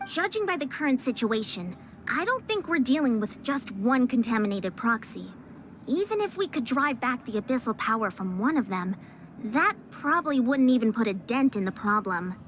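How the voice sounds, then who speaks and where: A woman's voice: on the high side, very animated, normal speed, a bit bright, a little hiss or hum. A woman voicing a thoughtful, caring young female adventurer in a video game, analytical in manner.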